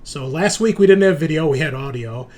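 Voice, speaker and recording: A man's voice: on the high side, lively intonation, a little fast, darkish tone. A man hosting a tabletop roleplaying show as game master, chatting casually and informally with some swearing. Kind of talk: casual podcast chat.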